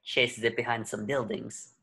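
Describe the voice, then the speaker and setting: A man reads out stage directions as narrator. His voice sits at a medium pitch, describing the action from a script. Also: level tone, a little slow, gravelly, pushed and bright.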